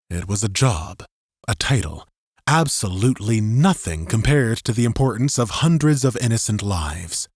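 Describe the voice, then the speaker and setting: Slow, expressive male audiobook narrator. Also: on the low side, husky, no echo, studio quality. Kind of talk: dramatic scripted narration.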